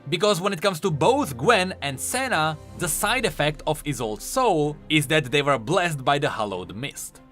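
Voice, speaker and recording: Male game lore explainer: highish, swooping pitch, emphatic and enthusiastic, light music underneath. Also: expressive, moderate pace, hardly any pauses, fairly bright tone. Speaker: video game lore commentator. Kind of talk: explanatory commentary voice-over. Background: faint music.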